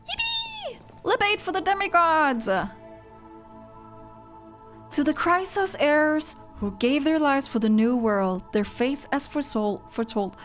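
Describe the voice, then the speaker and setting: Young woman, streamer, casual reaction chat, highish voice, very animated, faint game music behind. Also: measured pace. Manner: excitable, gasps and squeals, then drops to flat rambling. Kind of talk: casual live reaction commentary.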